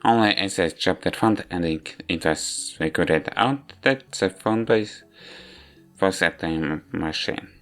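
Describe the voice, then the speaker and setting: A man giving a rambling, halting spoken review of a visual novel in non-native English. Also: sits low, a bit bright. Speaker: video game reviewer. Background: background music.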